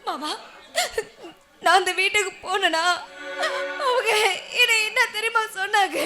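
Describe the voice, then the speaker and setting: A woman's voice: extremely high pitch, a bit bright. A woman playing a distraught, self-sacrificing and stubborn wife in an emotional, pleading melodrama. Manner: emotional, pleading, often tearful. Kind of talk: melodramatic Tamil drama acting.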